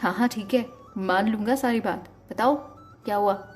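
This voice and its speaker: A woman's voice: medium pitch, normal speed, faint music behind, lightly acted. A woman voicing an insistent younger brother who scolds and pleads innocently in an audio story. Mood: happy, eager.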